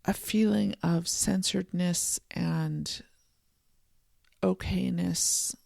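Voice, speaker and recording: A podcast host giving a soft, contemplative solo monologue from a home office, voice a little froggy this morning. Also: mid-range voice, fairly even intonation, gravelly, no echo, clean studio sound. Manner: soft, slow and contemplative, with gentle restarts. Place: home office and art studio.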